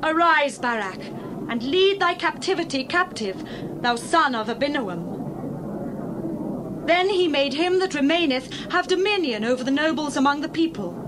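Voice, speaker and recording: A woman's voice: sits high, animated, moderate pace. A woman playing an exultant biblical prophetess in a dramatized scripture reading, declaiming solemnly. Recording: clear background ambient noise.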